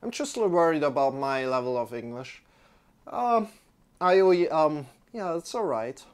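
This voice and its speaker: Young German yoga teacher, casual livestream chat, clip-on mic, loose and rambling. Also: male voice, a little high, very animated, normal speed, smooth. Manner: rambling, friendly, restarts often. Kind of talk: casual chat with live viewers. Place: indoor room, sitting on a yoga mat.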